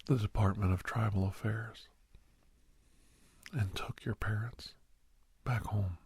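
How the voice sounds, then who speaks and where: A man playing a middle-aged doctor who reminisces earnestly in a soft, half-whispered ASMR roleplay, breathy and halting, close to the microphone. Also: steady tone, no room sound, full character voice. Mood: quiet, confiding. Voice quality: breathy, husky, low. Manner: halting, hushed, dramatic pauses. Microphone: close, dry microphone.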